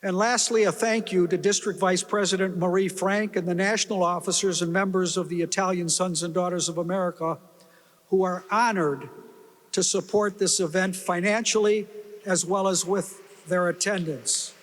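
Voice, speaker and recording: Male emcee, high register, scripted announcements, reverberant hall. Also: fairly even intonation, moderate pace. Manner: reads thank-yous off a script. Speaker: event emcee. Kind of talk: formal announcements. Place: banquet hall at a gala.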